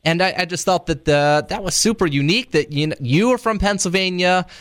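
Male host, casual and rambling, hesitant with chuckles, voice a bit high. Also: brisk, a bit bright. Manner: rambling, hesitant, laughing asides. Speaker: radio interviewer. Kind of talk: casual interview chat.

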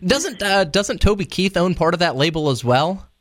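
A man's voice: high-pitched, animated, normal speed, a bit bright. Rambling, chuckling male interviewer. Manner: rambling, hesitant, laughing asides. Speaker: radio interviewer. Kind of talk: casual interview chat.

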